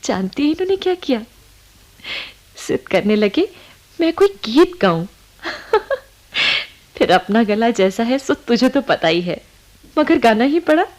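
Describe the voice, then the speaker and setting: A woman's voice: fairly high pitch, very animated, unhurried, a little mellow. A young woman acting in a Hindi radio play as a happy, coy newlywed, reading out a confiding letter in a dreamy, giggly way. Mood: dreamy, playful. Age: young adult.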